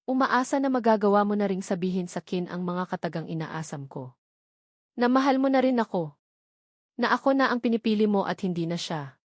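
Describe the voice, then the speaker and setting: A woman's voice: medium pitch, lively intonation, fairly quick, no echo, close to an everyday voice. Female narrator as lovesick Filipino billionaire, desperate and devoted, intense pleading, mid female register, dry audiobook sound. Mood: heartbroken pleading. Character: heartbroken, devoted, desperate billionaire man in love. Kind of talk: dramatic audiobook character dialogue in Taglish.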